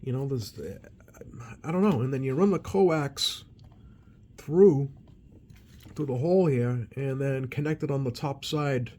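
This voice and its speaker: A man giving unscripted, hands-on repair commentary as a technician, with halting, hesitant phrasing and trailing thoughts. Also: mid-range voice. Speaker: CB radio repair technician and channel host. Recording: steady background noise.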